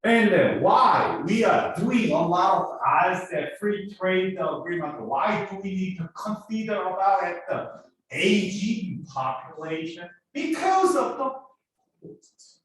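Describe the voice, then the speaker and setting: Korean-accented guy teaching business, high lively voice, super echoey room, mic far off. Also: takes it slowly. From South Korea. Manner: quizzes students with repeated rhetorical questions. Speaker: business professor. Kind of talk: classroom lecture.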